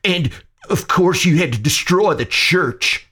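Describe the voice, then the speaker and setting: A man's voice: mid-range voice, measured pace, voice pushed up, natural voice. Man acting a seething, defiant American captain. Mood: scornful. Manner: seething, clenched, heroic. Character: defiant, hot-tempered American army captain, male action hero.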